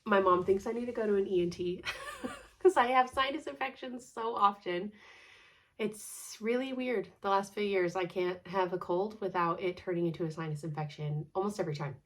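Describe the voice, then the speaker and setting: A woman's voice: mid-range voice, moderate pace. A woman in her thirties with a congested, stuffed-up nose, chatting casually at home about her cross-stitch, rambling and laughing often. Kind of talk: casual chat.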